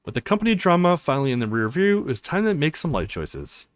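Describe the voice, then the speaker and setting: A man doing a let's play, reading a visual novel aloud in an expressive, playful way. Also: fairly high pitch, fast talker, dry recording. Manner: expressive, voices characters, laughs often.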